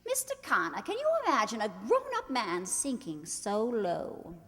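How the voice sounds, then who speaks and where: A woman's voice: a little high, wildly swooping pitch, normal speed, a bit bright, full character voice. A woman acting a haughty, gushing society bride in a radio play, with a grand, affected manner. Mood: gushing, arch. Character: haughty, sharp-tongued society bride playing gushing hostess. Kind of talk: radio play acting of a 1940s screwball comedy. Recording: reverberant hall stage recording.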